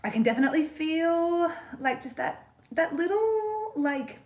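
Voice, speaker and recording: A woman, chatting casually to camera in a lifestyle vlog, with lots of fillers and little laughs, and a slightly croaky voice from a cold. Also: extremely high pitch, sing-song, measured pace, a little mellow. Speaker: vlogger.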